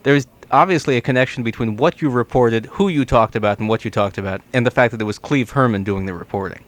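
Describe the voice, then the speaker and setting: Guy hosting a radio talk show, chatty interview style, average pitch, in a studio. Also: fast.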